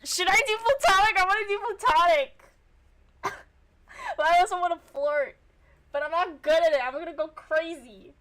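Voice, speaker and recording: A woman's voice: extremely high pitch, moderate pace, fairly bright tone. A young woman streamer giving casual game commentary and reading narration aloud, self-deprecating and free with swearing.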